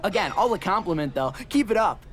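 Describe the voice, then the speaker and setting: A woman's voice: medium pitch, expressive, brisk, faint music behind, close to an everyday voice. A woman playing a snide, taunting wrestling rival in a game, mock-friendly and cutting. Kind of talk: video game cutscene acting.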